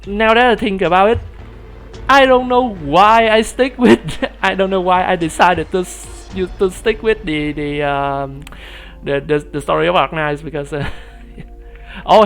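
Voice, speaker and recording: A man with a youthful, somewhat high voice talks casually on a live stream. He rambles, restarts his sentences and fills gaps with "uh" and "like," laughing often as he goes. Soft music plays faintly under him. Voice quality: youthful, boyish voice.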